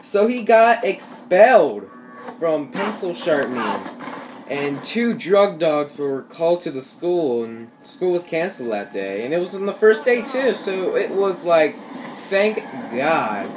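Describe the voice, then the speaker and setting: A man's voice: fairly high pitch, sing-song, unhurried. A young American man telling casual stories over gameplay, with slangy, swear-heavy talk. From Ohio, United States. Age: teenager or young adult. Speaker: gaming YouTuber. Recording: noisy home recording, gameplay sounds behind. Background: shooter game sounds.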